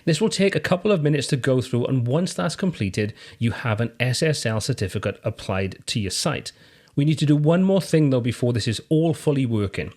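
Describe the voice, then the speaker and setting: A man from the UK hosting a screen-recorded tutorial, calmly walking through software steps in a friendly, explanatory way. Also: mid-range voice, brisk. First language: English. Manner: friendly step-by-step explaining, lively intonation. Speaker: tutorial host.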